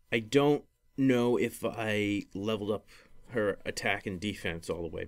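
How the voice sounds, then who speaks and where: A man hosting a casual gaming podcast, explaining things in a rambling, hesitant way and often correcting himself. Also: lowish voice. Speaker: podcast host.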